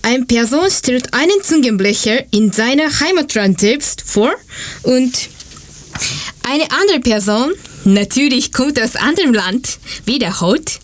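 Japanese lady hosting a radio bit, highish voice, japanese accent. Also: expressive, measured pace. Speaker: radio segment presenter. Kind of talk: scripted radio presenting in non-native German.

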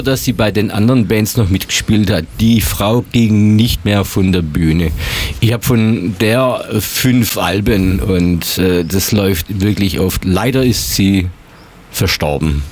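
A man's voice: lowish voice, slight background noise. A man on a radio show recalling past concerts in a thoughtful, reflective way. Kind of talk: reminiscing radio chat.

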